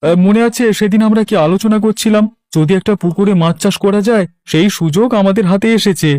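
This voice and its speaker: A man's voice: high-pitched, fast talker, darkish tone, no echo, studio quality, lightly acted. A man voicing an eager, helpful village labourer in a cartoon, with a reassuring, deferential manner. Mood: friendly, reassuring. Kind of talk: animated story voice acting.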